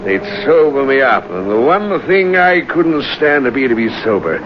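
An actor playing a despairing, educated drunken vagrant, weary and fatalistic, in old radio drama style. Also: male voice, medium pitch, big pitch swings, moderate pace, lightly acted. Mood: haunted, bitter. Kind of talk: 1940s-50s radio drama acting. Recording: noisy, muffled old radio recording.

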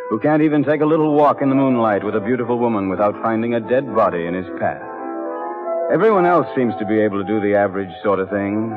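A man's voice: fairly low pitch, lightly acted. A man playing a wry, world-weary adventurer, narrating a radio mystery in the first person. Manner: dry, wry. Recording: tinny vintage radio with loud music.

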